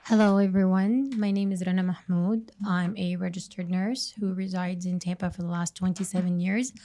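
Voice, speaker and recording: Earnest woman with a gravelly voice. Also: fairly low pitch, steady tone, mellow. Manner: earnest, halting, restarts sentences. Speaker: registered nurse guest. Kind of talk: interview answers.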